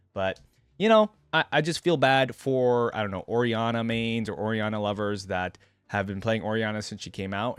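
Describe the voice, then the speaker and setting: A man hosting a reaction video with casual, informal commentary, rambling and thinking out loud. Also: lowish voice, no echo.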